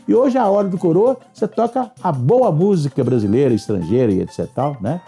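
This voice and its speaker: An older Brazilian man, a radio presenter, reminiscing casually in a raspy, gravelly voice. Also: on the high side, lively intonation, a little fast, dark tone, soft background music.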